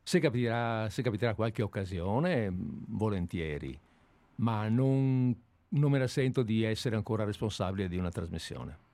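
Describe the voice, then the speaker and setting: A weary male radio host who talks in a halting way, full of restarts and pauses, as he takes leave of the show. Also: fairly low pitch, expressive, a little mellow, no room sound. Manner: hesitant, halting, many restarts. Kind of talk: casual on-air chat.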